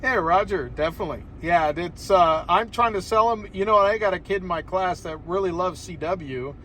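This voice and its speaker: American male radio hobbyist, slightly high voice, casual chat from a car, picked up at a distance. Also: normal speed, bright, forceful tone. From Southern California, United States. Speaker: amateur radio operator. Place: inside a car. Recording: distant mic in car, faint noise.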